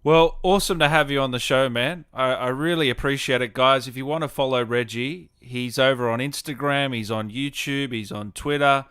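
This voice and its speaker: A man's voice: medium pitch, normal speed, few pauses, a bit bright, smooth, no room sound, studio quality. A man hosting a gaming show, chatting casually with effusive, matey informality. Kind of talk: casual livestream interview and chat.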